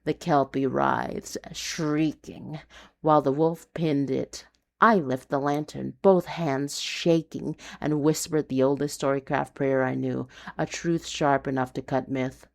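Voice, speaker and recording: A woman narrating a scripted noir fantasy story in an intense, deliberate, confessional manner. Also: a bass voice, fairly even intonation, gravelly.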